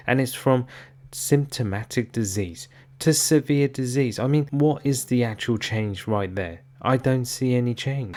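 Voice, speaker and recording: A man's voice: mid-range voice, darkish tone. A man hosting an investor commentary, speaking emphatically and with exasperation, often asking rhetorical questions straight to his viewers. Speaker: host of an investor commentary channel.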